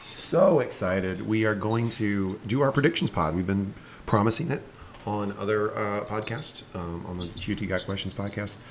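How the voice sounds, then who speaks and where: A man hosting a podcast in casual chat, playful and animated, with a slight rasp in his voice. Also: lowish voice, normal speed, slight background noise. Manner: playful, animated, laughs often. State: slightly raspy from lost voice. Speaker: podcast host.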